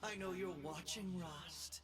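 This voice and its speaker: High-voiced tyrant emperor, video game villain, arrogant, slow grandiose delivery, shouts to hushed menace. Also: male voice, far from the mic, music in the background. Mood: menacing, exultant. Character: megalomaniac young self-crowned space emperor, gloating and unhinged. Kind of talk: theatrical video game voice acting.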